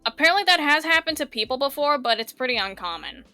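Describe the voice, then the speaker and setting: A woman's voice: high voice, animated, quick pace, sharp tone, no echo. A woman chatting casually as she guides someone through a tutorial, with music in the background. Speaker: tutor guiding a visual novel project.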